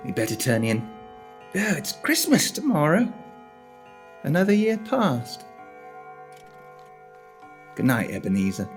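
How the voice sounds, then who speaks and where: A man's voice: on the high side, huge pitch swings, measured pace, pauses often, faint music behind, full character voice. An actor playing a world-weary man in a radio play, speaking dramatically. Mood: weary, reproachful. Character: Dickie, world-weary man bidding Scrooge good night.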